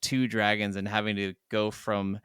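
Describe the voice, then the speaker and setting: A man's voice: fairly low pitch, completely flat delivery, normal speed, fairly bright tone, clear, resonant tone, dry recording, clean studio sound. A man co-hosting a podcast, chatting casually about video games in a rambling, enthusiastic way. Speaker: podcast co-host.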